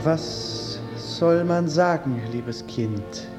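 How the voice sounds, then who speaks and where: A man playing a warm, playful eighteenth-century composer in a radio play, speaking in a heartfelt, ornate way. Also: a little high, animated, over music. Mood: moved, gallant. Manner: unhurried, heartfelt, ornate speech. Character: Mozart as a warm, effusive, playful Viennese composer. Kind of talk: German radio play acting.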